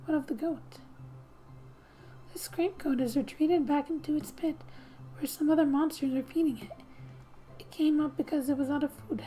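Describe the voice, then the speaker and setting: A woman softly narrating a game as ASMR host, breathy and often near a whisper, with gentle, unhurried, playful asides. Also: high-pitched, poor audio. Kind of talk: soft-spoken ASMR game narration, reading text aloud with asides.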